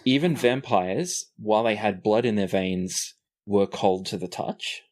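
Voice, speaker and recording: A man with a fairly low voice acts as game master of a tabletop fantasy game. He narrates scenes in a descriptive storytelling way and explains the rules as he goes. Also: a little slow, darkish tone.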